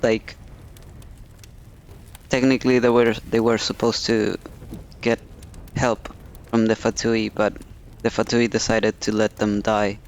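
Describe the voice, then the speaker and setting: Even-toned man casually commenting on a game. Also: lowish voice, a little hiss or hum. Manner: halting, musing. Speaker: game streamer. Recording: faint game music behind.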